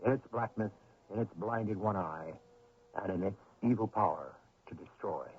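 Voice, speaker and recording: Low-voiced, ominous radio mystery host. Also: male voice, darkish tone. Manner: measured, solemn, ominous. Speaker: host and narrator. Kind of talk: theatrical scripted radio narration. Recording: narrow old radio broadcast, faint noise.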